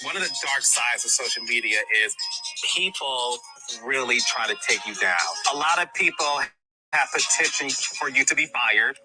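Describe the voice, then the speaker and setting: A man working as an interviewer, speaking in a measured, questioning style. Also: medium pitch, animated, low-quality recording. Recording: clip with clear music underneath. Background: background music.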